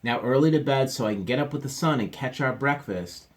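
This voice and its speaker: A man's voice: fairly quick, lightly acted. A man reading aloud voices a cheerful, hearty father bear in a children's storybook. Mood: upbeat. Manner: jolly, booming, upbeat.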